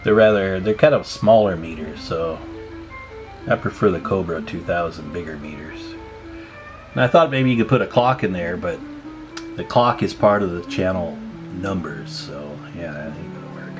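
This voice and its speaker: A man's voice: deep voice, expressive, unhurried, over music. A man giving a casual hands-on radio repair tutorial, thinking aloud in a folksy, informal way. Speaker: radio repair hobbyist hosting a tutorial video.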